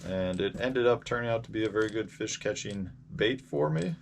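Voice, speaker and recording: An American man in his forties telling casual, rambling, halting stories as the host of a fishing vlog. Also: on the low side, expressive. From Indiana, United States. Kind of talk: casual vlog storytelling about fishing gear. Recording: clear background noise.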